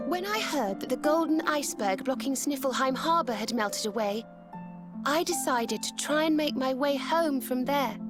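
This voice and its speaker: A woman with a British accent playing a gentle, polite young magical bard in a video game, sweet and courteous in manner. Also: sits high. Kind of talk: video game character acting.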